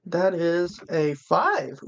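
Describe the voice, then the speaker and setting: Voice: medium pitch, big pitch swings, extremely slow. A player in a tabletop game, chatting casually at the table. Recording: music under the voice. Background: background music.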